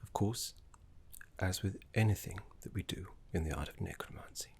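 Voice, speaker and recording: Casting: male actor as courteous scholarly necromancer mentor, very low-pitched, breathy half-whisper, reverent and soothing, game-inspired ASMR roleplay. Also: a little mellow, heavily acted. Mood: calm, instructive. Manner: unhurried, refined, reassuring, deliberate pauses.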